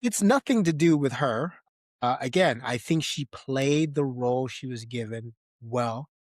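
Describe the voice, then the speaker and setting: A man's voice: medium pitch, lively intonation, measured pace, no echo, studio quality. A man hosting a podcast in a casual, conversational film discussion. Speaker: podcast co-host.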